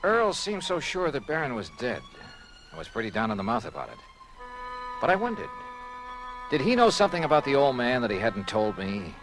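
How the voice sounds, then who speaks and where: An American actor playing a courteous, probing private investigator in a 1950s radio detective drama, middle-aged and a little hesitant. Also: male voice, mid-range voice, huge pitch swings, a little fast, fairly bright tone, soft background music, low-quality recording. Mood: wry, reflective. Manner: hesitant, polite, patiently probing. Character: hard-boiled but courteous male private investigator. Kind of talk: 1950s radio detective acting, first-person narration.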